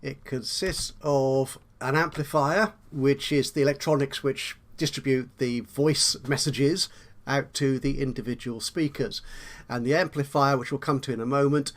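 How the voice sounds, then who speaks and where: A man's voice: medium pitch, fairly even intonation, normal speed. A man giving an unscripted tutorial commentary on a video game, explaining step by step with hesitant asides and self-corrections. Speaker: tutorial host.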